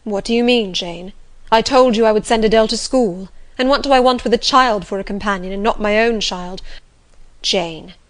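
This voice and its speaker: A woman voicing a passionate, brooding, imperious gentleman in a classic novel audiobook. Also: mid-range voice, normal speed, natural voice. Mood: urgent, threatening. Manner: impassioned, imperious, urgent.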